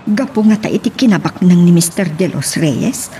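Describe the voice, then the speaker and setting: A woman's voice: fairly low pitch, lively intonation, normal speed, close to an everyday voice. A woman with a Filipino accent playing a suspicious, scheming woman in a radio drama. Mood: suspicious, musing. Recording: prominent music under the voice.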